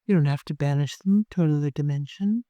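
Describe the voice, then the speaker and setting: A meditation guide of unclear sex, speaking gently and softly in an unhurried way, with long pauses, leading a guided meditation. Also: medium pitch, dry recording.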